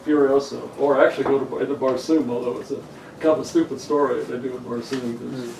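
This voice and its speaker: A man's voice: mid-range voice, fairly even intonation, quick pace, vocal fry. A man talking in an informal group discussion, wordy and given to digressions. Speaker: writer in a workshop discussion. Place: indoor room, recorded from a distance. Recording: roomy, distant mic, background noise.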